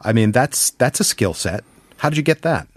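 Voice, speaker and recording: A man hosting an interview, asking measured, articulate questions. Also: medium pitch, big pitch swings, breathy edge, no room sound, clean studio sound. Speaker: interview host.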